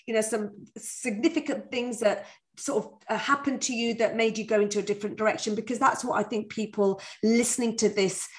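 A woman's voice: on the high side, fairly even intonation, brisk. A woman hosting a podcast interview, chatty and reassuring with her guest. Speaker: podcast host.

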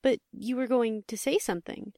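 An anxious, insistent young heiress played by a woman in an old radio drama. Her voice is fairly high and earnest, recorded without any echo. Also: fairly even intonation, normal speed, dark tone, clean studio sound, natural voice. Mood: alarmed. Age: young adult. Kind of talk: 1940s radio drama acting.

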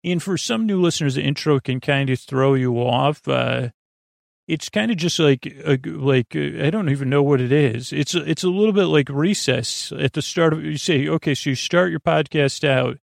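A man hosting a sleep podcast in a meandering, halting, absent-minded monologue with a gravelly, creaky voice. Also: on the high side, no echo, clean studio sound. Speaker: podcast host.

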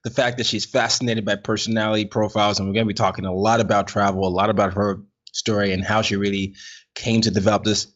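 A man's voice: fairly low pitch, level tone, quick pace, highs cut off. A man hosting a podcast, effusive and upbeat, often repeating himself as he talks to listeners and his guest. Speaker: podcast host. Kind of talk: podcast intro and interview.

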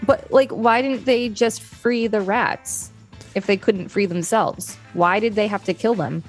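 A woman's voice: medium pitch, measured pace, faint music behind. A woman co-host reading an encyclopedia article aloud, with casual asides now and then.

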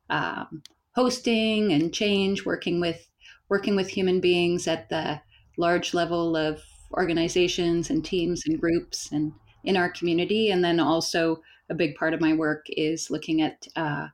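A woman from Canada, a facilitator and coach, speaking as a podcast guest in an unhurried, thoughtful way with wry humor. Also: fairly low pitch, fairly even intonation. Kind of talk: casual podcast conversation.

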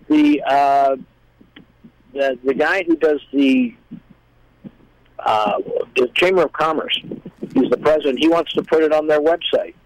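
A man chatting casually as a guest, explaining things in a rambling, halting way. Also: fairly high pitch, low-quality recording. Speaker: guest, musician. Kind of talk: casual chat.

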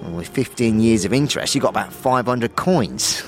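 A man voicing a bored, surly, squat goblin-like barkeep in a fantasy audio drama, gruff and grumbling with streetwise slang. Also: medium pitch, lively intonation, normal speed, lightly acted.

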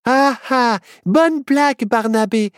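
A man voicing a spirited, quick-witted young girl turned owl, exclaiming in an animated way in a children's story. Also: very high-pitched, no room sound, clean studio sound, pitched above the performer's own voice. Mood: excited.

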